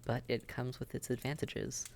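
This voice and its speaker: A man's voice: mid-range voice, little pitch movement, normal speed, gravelly, darkish tone, slight background noise, lightly acted. A man playing a friendly, worldly vampire innkeeper who chats and gives reassuring advice straight to the listener in a roleplay audio drama. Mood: encouraging. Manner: chatty, reassuring, advising.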